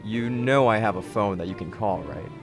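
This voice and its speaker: A man's voice: on the low side, moderate pace, music in the background, natural voice. A man playing a vain, sarcastic tech specialist with a flippant manner in a radio play.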